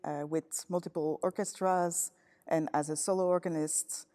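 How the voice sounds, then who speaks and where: A woman from Toronto, working as a musicologist who asks interview questions. She speaks haltingly, searching for words with many fillers. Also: deep voice, fairly even intonation, warm tone. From Toronto, Canada. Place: large church. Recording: long church reverb.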